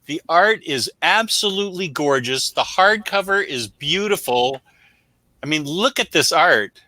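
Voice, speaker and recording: A man with a very bright, forceful voice pitched a little high, co-hosting a casual review show. He talks bluntly and emphatically, full of opinion, in unscripted chat. The sound is dry and close. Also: lively intonation, measured pace, no room sound. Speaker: co-host of a comic book review show.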